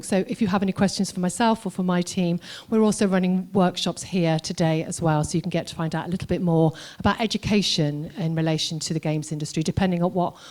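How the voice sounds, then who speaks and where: A woman's voice: on the low side, warm tone. Woman moderating a stage panel, chatty. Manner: chatty, restarts often. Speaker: moderator and lecturer.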